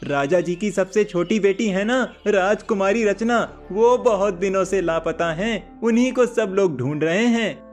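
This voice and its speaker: A man's voice: high-pitched, measured pace, soft background music. A man voicing an earnest young man of the palace in a cartoon story, emphatic and questioning. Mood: informative. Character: suspicious palace guard or young prince, earnest.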